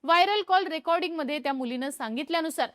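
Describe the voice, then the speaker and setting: A woman with a Marathi accent narrating a scripted news report in a steady, measured tone. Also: high-pitched. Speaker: news presenter.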